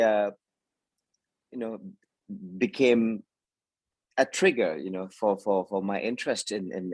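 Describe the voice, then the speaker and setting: A middle-aged man from Thailand, a broadcaster whose first language is Thai, speaks in a fairly low voice. He hesitates often, repeating words and filling pauses as he talks. The sound is dry and close. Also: no room sound. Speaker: news anchor and TV/radio host. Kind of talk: relaxed interview answers.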